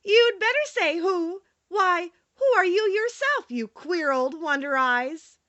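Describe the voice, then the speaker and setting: A woman voicing a gentle, merry, fearless little girl in a storybook, curious and playful. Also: very high voice, lively intonation, takes it slowly, highs cut off, voice pushed up, heavily acted.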